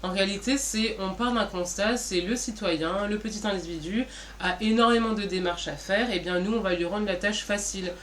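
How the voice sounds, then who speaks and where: A woman's voice: mid-range voice, moderate pace, slight background noise. A woman co-presenting in an informal, didactic way, posing questions and then answering them. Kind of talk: informal explanatory business presentation.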